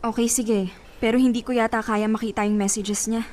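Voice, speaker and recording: A woman's voice: fairly high pitch, steady tone, fairly quick, darkish tone, lightly acted. An actress playing a heartbroken young woman whose boyfriend cheats, pleading and tearful, in a radio drama. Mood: worried.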